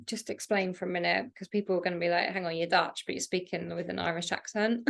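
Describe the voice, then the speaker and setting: A woman's voice: on the low side, fairly even intonation, quick pace, creaky. A woman in her thirties hosting a podcast, chatty and prompting her guest with questions between laughs. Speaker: podcast host. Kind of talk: casual conversation.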